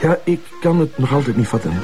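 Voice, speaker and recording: A man's voice: mid-range voice, animated, fast, warm tone, faint music behind, lightly acted. A man with a Flemish accent playing an earnest, inquisitive junior police officer, chatting informally in a television crime drama. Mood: puzzled, earnest. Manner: questioning, informal. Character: earnest, inquisitive male junior police colleague, easily teased.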